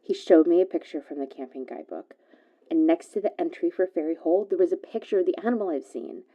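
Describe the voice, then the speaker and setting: Radio caller, played by an actress: chatty guileless young girl, rambling casual storytelling, notably low voice, muffled lo-fi line. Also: fast talker, dark tone. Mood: chatty, earnest. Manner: rambling, filler-heavy youthful talk.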